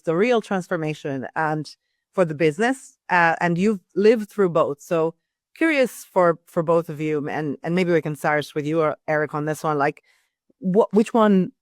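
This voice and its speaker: A woman's voice: sits low, a little mellow, dry recording. Lady moderating a work chat, unscripted, curious and chatty, dry audio. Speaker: host, panel moderator.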